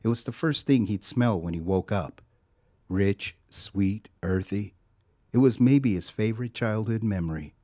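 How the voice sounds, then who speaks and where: An actor as the narrator of an audio drama, telling a scripted story in a wry, sardonic way. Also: male voice, fairly low pitch, measured pace, mellow, close to an everyday voice. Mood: wry, measured.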